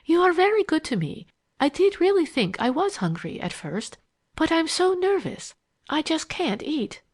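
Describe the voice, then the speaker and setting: A woman's voice: sits high, sing-song, normal speed, voice pushed up. A woman voicing a nervous, evasive young woman in a storybook, with a stammering, breathless, pleading manner. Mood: nervous, grateful.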